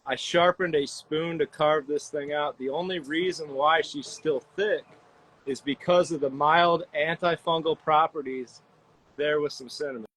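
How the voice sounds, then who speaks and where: A man joining as a guest on a call, talking casually with a relaxed, swearing manner over his phone. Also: on the high side, unhurried, slight background noise, rough recording. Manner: laid-back, swears casually. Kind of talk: casual chat.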